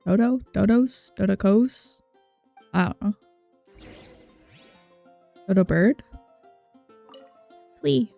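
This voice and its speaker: A woman's voice: medium pitch, drawn-out words, creaky, long pauses. A young woman giving casual, rambling, playful game commentary as she thinks aloud. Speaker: game streamer. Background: soft game music.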